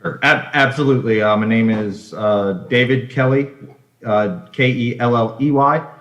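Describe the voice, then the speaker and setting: A man speaking as an architect at a public meeting, answering questions in halting, hesitant phrases. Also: mid-range voice, a bit bright. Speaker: architect presenting for an applicant. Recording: echoey, dull video-call audio.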